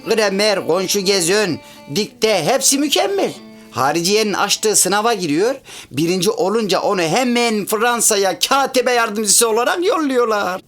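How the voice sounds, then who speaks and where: A man's voice: high-pitched, expressive, a little slow, a bit bright. Comic radio drama: sentimental, pedantic country man, rural Central Anatolian accent, swooping intonation, music underneath. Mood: sentimental, proud. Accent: rural Central Anatolian Turkish, Çorum area. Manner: folksy, rambling, pedantic about grammar. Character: chatty half-French man with provincial Turkish manners, sentimental. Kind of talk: comic radio theatre dialogue.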